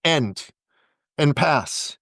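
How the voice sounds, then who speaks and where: A man talking as a podcast guest and business coach, giving an energetic pitch and repeating words for emphasis. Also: very slow, no echo, studio quality.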